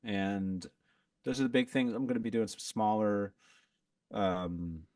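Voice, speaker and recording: Low-voiced man chatting off-mic. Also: measured pace, dry recording, clean studio sound, recorded from a distance. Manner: relaxed, rambling, lots of "like" and restarts. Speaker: podcast guest, musician. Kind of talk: casual chat.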